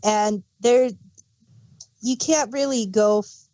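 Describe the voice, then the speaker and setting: A woman's voice: a little high, slow, mellow, dry recording. A woman co-hosting a podcast, casually sharing her opinions on a TV show. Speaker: podcast co-host.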